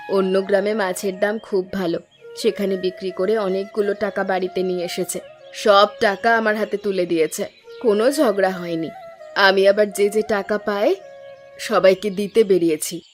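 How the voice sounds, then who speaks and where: A fisherman's wife from a village cartoon folk tale, acted by a woman. She talks in a chatty, homely way, ready to grumble or suspect, at a middling pitch. Faint music plays behind her. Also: natural voice. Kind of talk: animated folk-tale dialogue.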